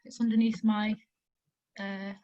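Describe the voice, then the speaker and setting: A woman with a hoarse, croaky voice, giving an academic presentation over a video call in a conversational style with many fillers. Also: mid-range voice, flat delivery, a little slow, dark tone, no room sound, lo-fi sound. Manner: conversational lecturing with frequent "um" fillers. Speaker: invited academic speaker.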